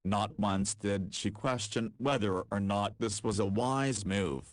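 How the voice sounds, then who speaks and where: Low-voiced male story narrator. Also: dry recording, clean studio sound. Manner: even, measured storytelling. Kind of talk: scripted narration for a visual novel.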